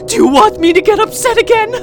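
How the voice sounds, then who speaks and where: A woman's voice: sing-song, slow. Woman doing a creepy sweet captor lady, baby talk that snaps into shrieking, faint music behind. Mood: hysterical, furious. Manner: syrupy baby talk turning to shrill screaming fits. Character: sugary unstable female captor, sweet yet menacing. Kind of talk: audio roleplay drama.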